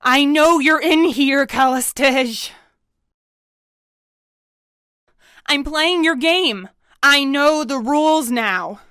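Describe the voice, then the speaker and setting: A woman's voice: sits high, slow pace, bright, forceful tone, no room sound, clean studio sound, exaggerated voice. A woman playing a defiant, foul-mouthed pony heroine in an audio drama, speaking with dramatic determination. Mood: determined.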